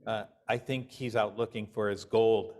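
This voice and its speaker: Man preaching a measured sermon. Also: lowish voice, fairly even intonation, darkish tone. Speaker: minister.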